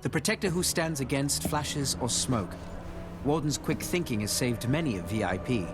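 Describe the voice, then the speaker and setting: Military director played by a man for a shooter game: formal, deliberate, scripted, mid-pitched with animated inflection. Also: natural voice. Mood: measured, formal. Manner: formal, measured, deliberate delivery. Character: male special-forces director, measured, formal, appraising his operatives. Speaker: game announcer introducing operators. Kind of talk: scripted narration. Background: music.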